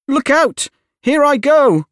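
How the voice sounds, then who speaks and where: A man's voice: fairly high pitch, huge pitch swings, measured pace, no echo, studio quality, exaggerated voice. A man voicing a wicked, gloating witch in a theatrical, wailing storybook manner. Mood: wailing, despairing.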